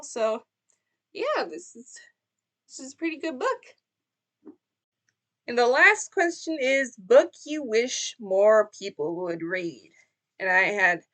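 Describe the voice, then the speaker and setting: A woman's voice: a little high, fairly bright tone. A young woman chatting about books in a casual vlog, rambling a bit, with fillers and restarts. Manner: chatty and rambling, with frequent fillers and self-corrections. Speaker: book vlogger. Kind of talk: casual book tag vlog.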